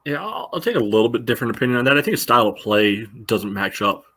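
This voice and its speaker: A man co-hosting a podcast, casually analysing sport in halting speech peppered with "you know". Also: medium pitch, very animated, fairly bright tone, husky. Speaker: podcast co-host.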